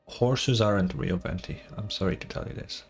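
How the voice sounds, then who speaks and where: A man's voice: low voice, normal speed, gravelly, soft background music. A man doing casual gaming livestream commentary in a sarcastic, mock-exasperated way, swearing freely. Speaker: streamer.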